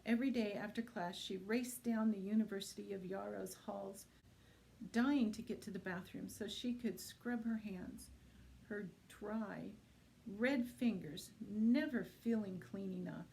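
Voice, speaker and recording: Female reader for thriller fiction, soft-edged mellow tone, mid pitch, some background noise. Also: unhurried, gravelly, poor audio. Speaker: library reader introducing and reading a book aloud. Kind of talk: audiobook-style reading of a thriller novel.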